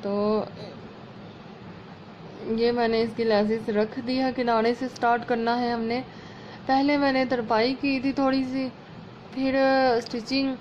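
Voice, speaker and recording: A woman with a sore, slightly hoarse throat, chattily explaining a home sewing repair step by step. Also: fairly high pitch, little pitch movement, measured pace, long pauses, a little mellow. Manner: chatty, homely, explaining as she works. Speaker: sewing channel host. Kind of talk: casual step-by-step sewing tutorial. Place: at home over a work table. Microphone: phone camera over hands. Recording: muffled lo-fi phone audio, faint room noise.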